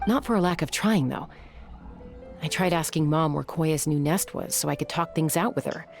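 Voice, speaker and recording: An actress voicing an earnest young woman raised among saurians, speaking in a soft, measured, reflective way. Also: deep voice, vocal fry, soft background music. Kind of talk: game voice acting.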